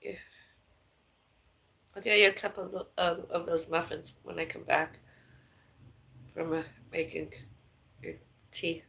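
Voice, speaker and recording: A woman with a raspy voice, rambling casually on a live stream from her home kitchen. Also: on the low side, steady tone, measured pace, long pauses, fairly bright tone, low-quality recording. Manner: rambling, chatty, calls out to someone in another room. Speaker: vlogger.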